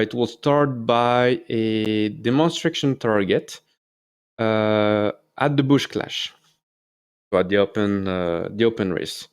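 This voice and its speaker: A man's voice: fairly low pitch, darkish tone, smooth. A man with a French accent, a native French speaker, hosting a casual solo video and talking hesitantly with many fillers and self-corrections. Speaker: YouTube host.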